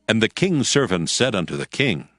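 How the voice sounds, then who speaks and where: A man's voice: on the low side, sing-song, normal speed, no room sound, clean studio sound. A man narrating scripture from a script in a solemn, formal storytelling manner. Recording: loud music under the voice.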